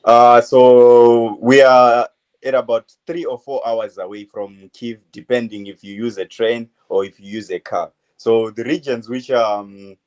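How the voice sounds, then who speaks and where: A man in his twenties from Zimbabwe, a medical student answering interview questions in a halting way, full of uh fillers. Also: mid-range voice, steady tone, a little mellow. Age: 20s. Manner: hesitant, many uh fillers, halting phrases. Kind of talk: phone interview.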